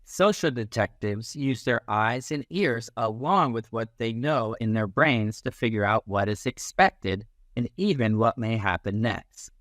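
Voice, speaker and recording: A man reading a children's picture book aloud as a teacher, in an expressive, instructive manner. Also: mid-range voice, measured pace, hardly any pauses, no room sound, clean studio sound. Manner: animated, instructive, acts out little sounds and quotes.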